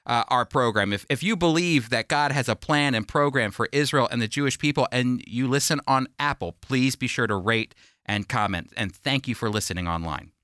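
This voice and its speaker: Bright-voiced American man teaching on radio. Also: medium pitch, moderate pace, smooth, no echo, studio quality. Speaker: radio host and Bible teacher. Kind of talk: radio Bible teaching, conversational.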